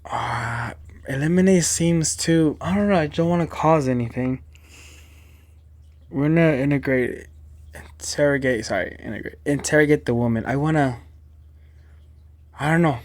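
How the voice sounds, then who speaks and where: A man's voice: a little high, normal speed, long pauses, darkish tone. A man hosting a reaction video with informal, slangy, casual commentary.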